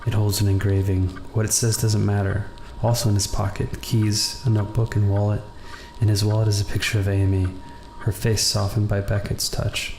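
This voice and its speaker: A man reading visual novel narration aloud for a gaming video in a subdued, deliberate way, with the odd casual aside. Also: on the low side, little pitch movement, a little mellow. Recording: roomy echo, faint background noise.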